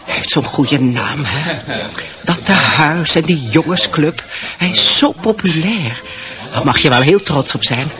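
A woman's voice: sits low, expressive, a little slow. An actress playing a gushing, socially ambitious middle-aged mother in a radio drama, effusive and coaxing. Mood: proud, coaxing. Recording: busy party chatter behind. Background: crowded reception chatter.

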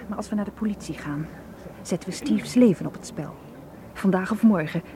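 A woman's voice: mid-range voice, brisk, close to an everyday voice. An actress playing a young, anxious but determined female tour guide in a radio drama, speaking earnestly. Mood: worried, insistent. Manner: earnest, insistent. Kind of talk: Dutch radio drama acting. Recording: radio play, clear background noise.

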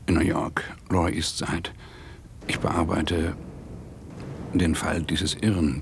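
A hard-boiled police detective in a radio crime drama. The voice sits very low with a gravelly fry, over faint background noise. He sounds worn down and angry, speaking in terse, brooding phrases. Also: heavily acted. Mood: confessing, tense. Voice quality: deep, gravelly. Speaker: actor, narrator and lead. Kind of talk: radio crime drama, intimate first-person monologue and dialogue.

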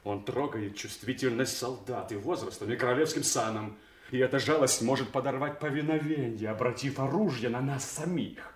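A man acting a scheming young noble commander in a Shakespearean audio play. His voice is mid-pitched, with measured, calculating phrases and an air of cold command. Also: close to an everyday voice. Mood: cool, formal. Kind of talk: theatrical Shakespearean radio drama acting.

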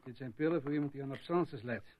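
An actor playing a gossipy, grumbling village doctor in a radio play. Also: male voice, mid-range voice, quick pace, close to an everyday voice. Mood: matter-of-fact. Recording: muffled, phone-like lo-fi radio play.

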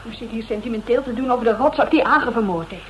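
A woman's voice: on the high side, quick pace, natural voice. An actress playing a guarded, protective woman, pointed and terse, in radio drama dialogue. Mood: reproachful. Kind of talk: Dutch radio drama acting. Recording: slightly noisy radio recording.

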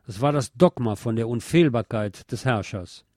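A man's voice: medium pitch, lively intonation, moderate pace, darkish tone, no room sound, studio quality. A man hosting a radio programme in speech, reading scripted historical narration aloud. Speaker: radio host.

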